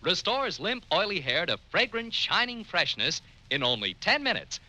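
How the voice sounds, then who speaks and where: A man reading a radio commercial in a salesy, bright pitch. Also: very animated, moderate pace. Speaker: commercial announcer. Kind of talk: 1950 radio ad.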